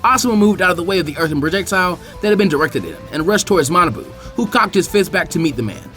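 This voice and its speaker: A young man reading fan fiction aloud with expressive, animated narration. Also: high voice, rapid-fire, soft background music. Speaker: fanfiction reader.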